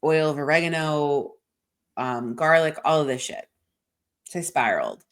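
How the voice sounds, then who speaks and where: A woman with a deep, low voice talks loosely on a podcast, piling up fillers and dropping swear words as she rambles through her week. She sounds a bit congested, and her delivery is unguarded and conversational. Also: normal speed. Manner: rambling and frank, swears often, heavy "like" filler. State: congested from a sinus infection. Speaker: podcast co-host. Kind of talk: casual chat.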